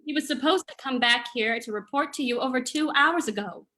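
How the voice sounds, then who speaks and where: A detective's anxious female companion played by an actress in an old-time radio drama. Her voice is bright and somewhat high, with an earnest, questioning manner. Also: fairly quick, a little hiss or hum. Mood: worried. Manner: inquisitive, earnest.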